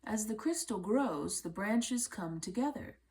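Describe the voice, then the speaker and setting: A woman reading a children's book aloud in a measured way, with deliberate phrasing and pauses. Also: medium pitch, expressive, a little mellow. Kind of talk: read-aloud storybook narration.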